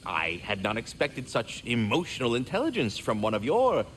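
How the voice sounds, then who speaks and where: A man's voice: mid-range voice, huge pitch swings, moderate pace, a bit bright, a little hiss or hum, rough recording. A man voicing a polite magical squirrel in a video game, with formal, florid, theatrical diction. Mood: courteous, candid.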